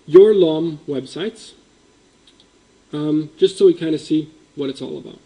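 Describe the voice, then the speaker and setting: A man's voice: on the high side, measured pace, mellow, rough recording. A man who grew up in Indonesia, giving a conference presentation in a meeting room, explaining with emphasis and frequent ums.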